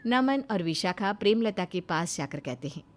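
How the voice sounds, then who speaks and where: A woman's voice: medium pitch, expressive, moderate pace. A woman narrating a scripted moral story as a storyteller.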